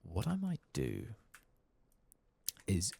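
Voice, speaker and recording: A soft-spoken man doing ASMR-style game commentary, muttering casually to himself as he thinks aloud and sometimes dropping into a whisper. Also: low-pitched, huge pitch swings, very slow, vocal fry, husky. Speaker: gaming video host.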